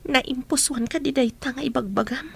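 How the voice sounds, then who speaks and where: Young Ilocano elder sister, high voice, tender, humble, radio drama, dry close sound. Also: female voice, moderate pace, vocal fry, fairly bright tone, breathy edge, no echo, exaggerated voice. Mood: surprised, moved.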